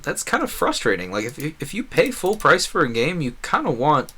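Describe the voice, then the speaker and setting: A man's voice: a little high, wildly swooping pitch, fairly quick, pushed and bright, slightly rough. A man giving casual, earnest and opinionated commentary on a gaming stream, talking in a rambling way. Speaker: game streamer.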